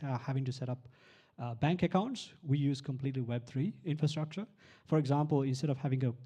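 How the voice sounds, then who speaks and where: Man, from Sri Lanka, very dark mellow tone, live talk, explanatory, many fillers and restarts. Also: medium pitch. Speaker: tech founder presenting.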